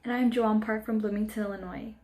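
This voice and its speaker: A woman's voice: medium pitch, steady tone, brisk, darkish tone. A teenage girl carefully reading a scripted student project presentation from a room at home over a laptop mic.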